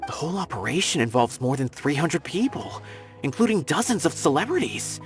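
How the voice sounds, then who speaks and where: A man's voice: fairly high pitch, big pitch swings, fairly quick. An actor playing a cautious young male scholar in a game, speaking carefully and reasoning things out aloud. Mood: thoughtful. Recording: game cutscene with faint music.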